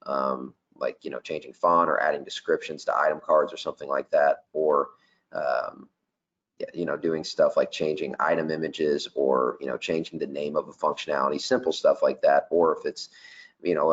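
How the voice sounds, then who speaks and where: Man, very deep voice, casual webinar presenter, muffled recording. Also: breathy edge. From not Danish. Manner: casual, many fillers like um and you know. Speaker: software vendor presenter. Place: office.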